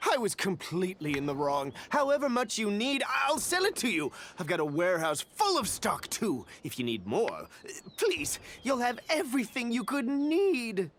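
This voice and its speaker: A man playing a cowardly, excitable merchant in a video game, pleading and grovelling in an exaggerated, frantic way. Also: high voice, moderate pace, few pauses, slight background noise, exaggerated voice. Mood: desperate, pleading.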